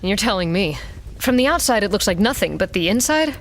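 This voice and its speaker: A woman's voice: mid-range voice, expressive, brisk, a little hiss or hum, close to an everyday voice. A woman playing a weary, sardonic mercenary pilot in an audio drama, talking in a blunt, casual way. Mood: intrigued.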